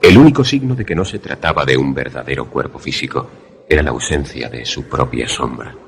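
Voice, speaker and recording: An actor as a very deep-voiced troubled narrator. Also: male voice, expressive, vocal fry, slight background noise, exaggerated voice. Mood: suspenseful, grave. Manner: grave, measured, literary. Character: troubled man recounting an eerie encounter. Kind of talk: first-person dramatic narration, suspense radio play.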